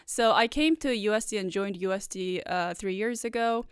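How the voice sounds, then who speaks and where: A woman's voice: medium pitch, moderate pace, smooth, no echo, clean studio sound. A woman in her thirties from South Korea, a native Korean speaker, answering podcast interview questions thoughtfully with frequent "uh" and "like" fillers. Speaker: guest professor.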